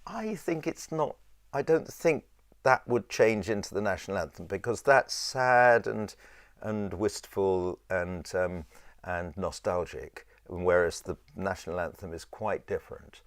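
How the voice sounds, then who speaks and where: A man with a low voice teaching a choir in an informal, rambling and halting conversational way. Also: no room sound, clean studio sound. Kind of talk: informal instructional talk with sung demonstrations.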